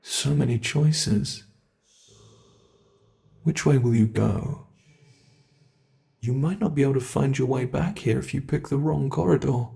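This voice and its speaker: A sadistic monster from under the bed, voiced by a British man, speaking in a gravelly, unhurried drawl. He lingers over every word, mocking and menacing his captive with slow relish. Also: mid-range voice, mellow. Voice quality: gravelly, slow, drawn-out delivery. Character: cruel male monster under the bed, toying with prey. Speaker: ASMR roleplay performer. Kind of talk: ASMR horror roleplay.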